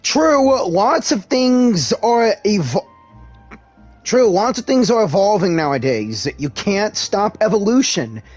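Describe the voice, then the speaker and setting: A man's voice: sits high, sing-song, takes it slowly. A man streaming a video game, giving casual, offhand live commentary with playful interjections. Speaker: gaming streamer. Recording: game music behind the voice.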